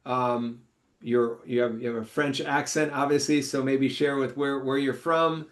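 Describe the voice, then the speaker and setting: A male podcast host at an ordinary pitch. He asks his questions haltingly, stumbling over repeated words and fillers. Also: steady tone. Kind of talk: casual interview questions.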